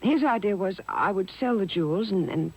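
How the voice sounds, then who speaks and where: A woman's voice: mid-range voice, lively intonation, moderate pace, creaky, a little mellow, a little hiss or hum, poor audio. A woman playing a scheming, frightened young blonde in a 1950s radio drama, hesitant and coy. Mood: hesitant, evasive.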